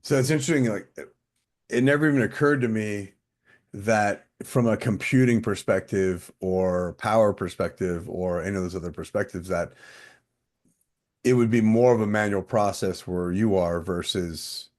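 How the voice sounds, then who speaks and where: A man hosting a podcast, asking relaxed questions and giving quick backchannel replies. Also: on the low side. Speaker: podcast host. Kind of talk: casual interview questions.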